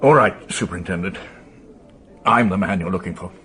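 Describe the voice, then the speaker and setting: An actor playing an apologetic, halting titled gentleman in a radio drama, with a genteel manner. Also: sits low. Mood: apologetic, resigned. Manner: hesitant, genteel.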